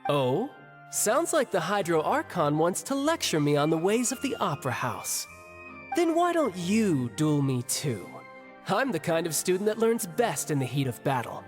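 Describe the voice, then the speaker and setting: Young male game warrior, playful, battle-hungry swagger, very animated delivery. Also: high voice, normal speed, faint music behind. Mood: cocky, playful. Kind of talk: anime game voice acting.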